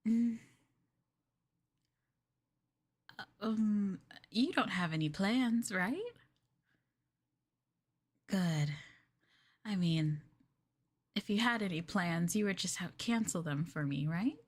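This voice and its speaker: Woman acting a slow, cooing, clingy yandere girlfriend. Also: mid-range voice, expressive, breathy edge. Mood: sweet, doting. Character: sweetly clingy, possessive yandere girlfriend doting on lover. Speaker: ASMR roleplay streamer. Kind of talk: ASMR girlfriend roleplay.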